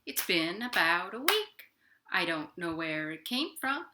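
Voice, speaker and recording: A woman's voice: lowish voice, sing-song, a little slow, very noisy. A woman hosting a children's storytime from home, speaking directly to young listeners as she tells stories. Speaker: library storytime host. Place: at home, indoors.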